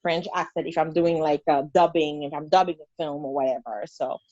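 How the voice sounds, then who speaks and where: A woman with a Haitian accent talks through an interview in a lively, storytelling way, often restarting sentences. Her voice sounds dull and lo-fi, and the recording is dry, with no room sound. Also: low voice, a little fast, no echo. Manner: animated storyteller, many fillers and restarts. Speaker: guest. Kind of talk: conversational interview.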